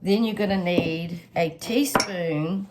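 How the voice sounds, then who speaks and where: A woman, a little hoarse and stuffed up, chatting homily through a cooking tutorial for her viewers. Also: lowish voice, slow pace, heavy background noise. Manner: homey, chatty, lots of fillers, addresses viewers directly. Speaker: home cook channel host.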